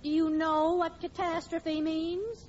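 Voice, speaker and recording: A woman's voice: extremely high pitch, little pitch movement, slow pace. An actress playing a sensible, exasperated middle-aged wife and mother, reasoning and scolding in a 1940s radio sitcom. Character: sensible, exasperated housewife and mother, defending young love. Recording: muffled old radio recording, loud noise.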